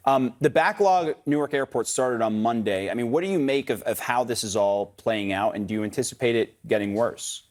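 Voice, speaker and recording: A man anchoring a live TV news interview, putting questions to a guest in a conversational, slightly halting way. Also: mid-range voice.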